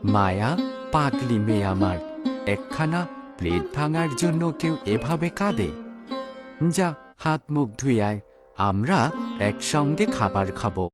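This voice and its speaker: A man's voice: mid-range voice, expressive, takes it slowly, long pauses, music in the background. A man voicing an affectionate, unsuspecting village father in a cartoon, fondly coaxing. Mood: fond, soothing. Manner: gentle, fond coaxing. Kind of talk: Bengali cartoon voice acting.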